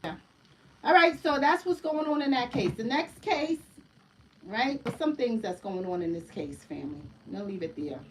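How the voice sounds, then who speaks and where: A woman's voice: on the high side, lively intonation, moderate pace. Woman doing a chatty card reading on stream, kinda far from the mic, faint hiss behind her. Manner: chatty, keeps asking "right?" and calls listeners "family". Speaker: oracle card reader. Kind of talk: casual livestream card reading.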